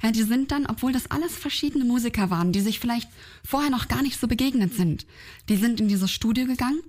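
A woman with a somewhat high voice talks about the audiobook she directed. She explains with enthusiasm and energy. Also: fast, a little mellow. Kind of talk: interview chat.

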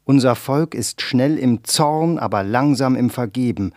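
A man's voice: mid-range voice, a little slow, a little mellow, dry recording, clean studio sound, lightly acted. A man voicing a father who gives his son a solemn, urgent warning to flee. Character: grave father sending his son away.

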